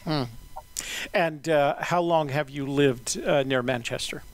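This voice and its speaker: A man hosting an interview in a relaxed, unhurried way, often pausing with "uh". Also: a little high. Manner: relaxed, many "uh" fillers, measured pace. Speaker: interviewer and podcast host. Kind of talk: casual interview.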